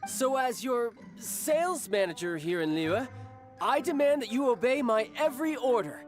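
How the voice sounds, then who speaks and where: Theatrical, articulate young commander. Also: male voice, extremely high pitch, lively intonation, normal speed. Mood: grandiose, commanding. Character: young male military commander, doting brother, smooth and theatrical. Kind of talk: video game voice acting. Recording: studio voice over game music.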